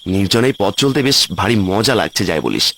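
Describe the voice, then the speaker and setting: A man playing an excitable city office worker on a village trip in a cartoon ghost story. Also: fairly low pitch, moderate pace. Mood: cheerful. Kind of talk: cartoon horror story voice acting.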